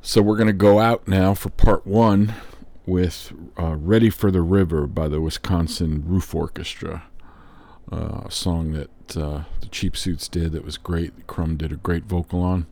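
Very deep-voiced man hosting a radio show. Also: steady tone, unhurried, a little mellow. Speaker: host of an old-time music show. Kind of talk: casual radio show sign-off. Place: record room.

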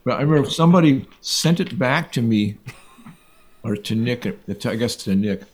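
A man with an average-pitched voice talks as a guest musician. He reminisces loosely and informally, in the manner of casual conversation. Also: animated, normal speed, slight background noise.

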